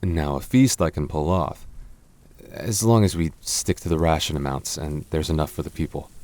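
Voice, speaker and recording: Deep-voiced tender prince played by a man. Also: normal speed, darkish tone. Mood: hesitant, reassuring. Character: devoted young fantasy prince, tender, teasing, soft-spoken lover. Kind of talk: romantic boyfriend roleplay ASMR.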